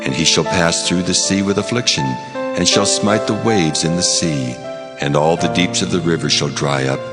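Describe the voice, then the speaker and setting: An actor playing God as a solemn, commanding deity in a dramatized scripture reading, with measured declarations. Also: male voice, sits low. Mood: solemn, resolute. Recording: loud music under the voice.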